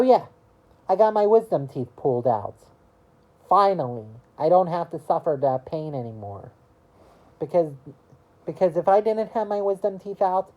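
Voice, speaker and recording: Slow, low-voiced young woman rambling. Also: muffled recording. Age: early 20s. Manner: rambling, halting, leans on "you know" fillers. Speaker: vlogger. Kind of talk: casual year-review monologue to camera.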